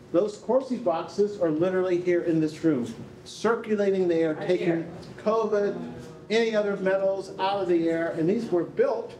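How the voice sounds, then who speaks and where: An older man hosting a live stage event, speaking off the cuff to the audience and guests in an animated way. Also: on the high side. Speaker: event host, researcher. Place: indoor rehearsal hall, on stage. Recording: echoey room, faint background noise.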